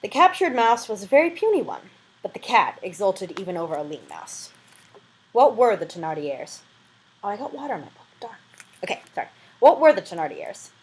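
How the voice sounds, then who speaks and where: A woman's voice: mid-range voice, sing-song, a little fast, rough recording. A woman reading a classic novel aloud as an amateur audiobook reader, with a dramatic, emphatic delivery and an informal touch.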